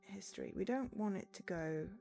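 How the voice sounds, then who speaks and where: A woman's voice: mid-range voice, animated, moderate pace, gravelly, dark tone, faint music behind. A woman game streamer chatting live and reading game text aloud, breaking off for exasperated asides.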